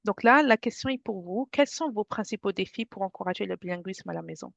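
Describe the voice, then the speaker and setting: A woman's voice: mid-range voice, lively intonation, fairly quick, creaky, a bit bright, no room sound. A woman from France leading an online workshop for parents, speaking in a friendly, conversational way and addressing the audience directly. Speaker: workshop presenter. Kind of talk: informal webinar presentation to parents. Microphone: video call. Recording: dull, dry video-call audio.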